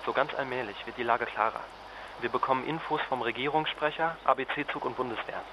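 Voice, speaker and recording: A man's voice: mid-range voice, a little fast, background noise, natural voice. An actor playing a stressed, exhausted male journalist in a naturalistic radio drama, talking in an urgent, clipped, colloquial way. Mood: weary, reporting.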